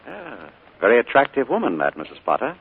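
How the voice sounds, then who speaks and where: An actor playing a smooth, evasive middle-aged uncle in a 1940s radio drama, on a tinny old broadcast recording. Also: male voice, medium pitch, wildly swooping pitch, fairly quick, a little hiss or hum. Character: charming sinister uncle, middle-aged man.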